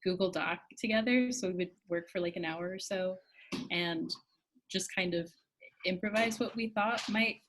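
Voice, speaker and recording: A woman's voice: fairly low pitch, normal speed, fairly bright tone. A woman who writes for a theatre group, talking casually. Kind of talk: casual chat.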